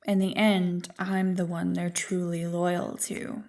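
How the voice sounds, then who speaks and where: A woman voicing an ancient, evil primordial being in an ASMR roleplay, smug and tempting, with a deliberate, taunting delivery. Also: fairly low pitch, completely flat delivery, a little mellow, recorded from a distance. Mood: sly, commanding. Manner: slow, deliberate, taunting, coolly amused.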